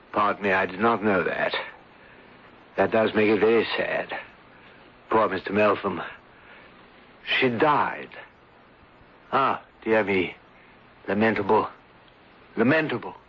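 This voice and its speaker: A dull, lo-fi radio recording of a smooth gentleman schemer. His voice sits fairly low, and he speaks in florid, unctuous phrases with delicate hesitations and mournful sympathy. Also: takes it slowly, long pauses, heavily acted. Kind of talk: radio drama acting.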